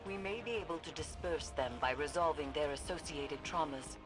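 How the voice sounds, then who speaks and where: Woman as low-voiced serene mystic mentor. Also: lightly acted. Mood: grave, explaining. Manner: calm, measured, formal. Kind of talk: video game voice acting. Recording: music behind the voice.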